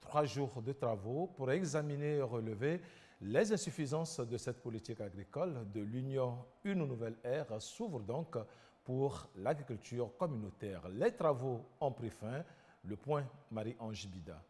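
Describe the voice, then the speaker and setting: A man's voice: medium pitch, animated, a little slow, a little mellow, in a large hall. A man presenting the news as an anchor.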